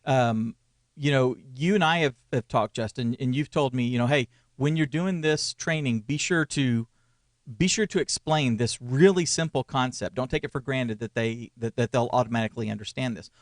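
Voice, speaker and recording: A man's voice: mid-range voice, normal speed, no echo, clean studio sound. A man in his forties co-hosting a casual ministry chat, rambling and restarting his sentences with small chuckles. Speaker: co-host, church media consultant. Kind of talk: casual conversational podcast.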